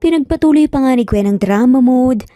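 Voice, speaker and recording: A woman's voice: high voice, lively intonation, a little fast, dark tone, no room sound, studio quality, soft background music. A woman with a Filipino accent narrating a scripted story in a dramatic storytelling style.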